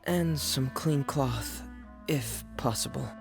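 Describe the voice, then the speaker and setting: A man playing a shy, hesitant younger brother in a game, halting and full of fillers. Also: mid-range voice, creaky. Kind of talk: game dialogue. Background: game music.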